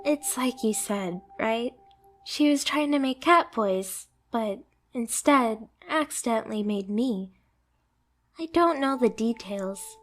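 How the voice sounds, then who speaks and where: Young anime catgirl, very high-pitched, slow-paced, meek, stammering, apologetic, eager to please, ASMR roleplay. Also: expressive. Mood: sad, subdued, grateful. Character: timid, clumsy, eager-to-please young female catgirl pet.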